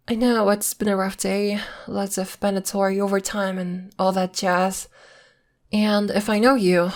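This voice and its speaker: A woman's voice: medium pitch, close to an everyday voice. A woman acting in an ASMR roleplay as a playful, evasive girlfriend who is secretly a witch, talking intimately in a coy, teasing, casual way. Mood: caring, soothing. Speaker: voice actor.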